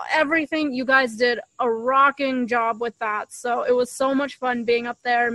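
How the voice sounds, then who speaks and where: A woman's voice: high-pitched, a bit bright, dry recording, rough recording. A young woman, chatting casually as a podcast co-host. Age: young adult. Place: outdoors in a leafy garden. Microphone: desktop condenser mic shared at close range.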